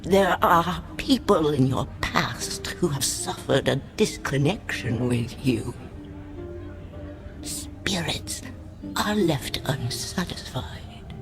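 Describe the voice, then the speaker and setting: An actress playing an old fortune teller in a radio drama, solemn, mystical and grandiose, sometimes hushed. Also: female voice, low-pitched, animated, slow, vocal fry, music in the background, heavily acted. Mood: hushed, entrancing. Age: older. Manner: grandiose, incantatory, hushed at times.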